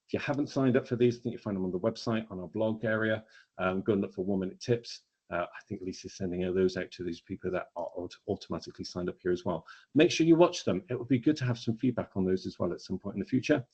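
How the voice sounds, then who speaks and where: A man's voice: lowish voice, quick pace, darkish tone, husky. A man presenting a business training webinar in a conversational, explanatory way, stressing key words as he goes. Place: online webinar. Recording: dull, lo-fi online webinar audio.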